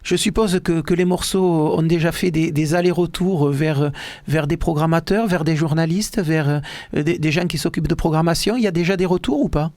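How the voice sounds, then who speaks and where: Male radio host, studio mic, higher pitch, repeats himself, dead-dry room. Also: darkish tone, no room sound. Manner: halting, repeats words, many fillers. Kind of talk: live radio interview. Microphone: studio microphone.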